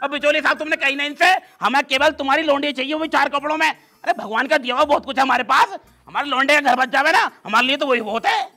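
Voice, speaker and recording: A man playing a hot-tempered, blustering village father in a comic cartoon skit, with a rural western Uttar Pradesh accent and a pitched-up voice. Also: fast talker, pushed and bright, faint music behind, heavily acted. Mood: pleading, earnest. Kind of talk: comic skit acting in rustic dialect.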